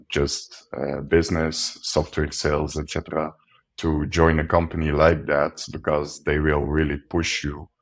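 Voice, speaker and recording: A man with an extremely low voice speaks in casual interview talk. His delivery is earnest and reflective, and it stays fairly level in pitch. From coastal Belgium. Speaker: guest, sales account executive.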